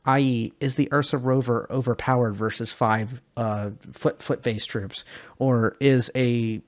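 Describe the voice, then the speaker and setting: A man hosting a video on game lore, presenting it in a measured, deliberate way. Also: mid-range voice, steady tone. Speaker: host reading game lore and giving analysis. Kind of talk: dramatic read of game lore, then casual analysis.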